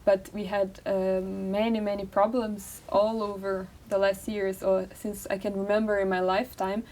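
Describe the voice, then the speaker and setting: A woman's voice: mid-range voice, little pitch movement, moderate pace, a little mellow, recorded from a distance. A young woman from Brazil with a Brazilian Portuguese accent, a guest talking at length in a casual interview and leaning on fillers like "like" and "uh". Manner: talkative, many fillers like "like" and "uh".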